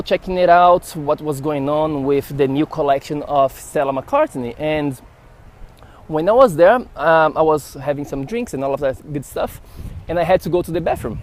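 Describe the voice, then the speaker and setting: A young man from Brazil with a Brazilian Portuguese accent, telling a story to camera in a casual, animated way and acting out the dialogue. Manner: animated, acts out dialogue with rising pitch. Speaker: health vlogger. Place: outdoors in a city. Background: traffic noise.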